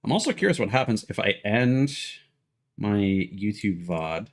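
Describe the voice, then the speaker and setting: A man casually commentating on a livestream, thinking aloud in a rambling way with hesitant pauses. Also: fairly low pitch, animated. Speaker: game livestreamer.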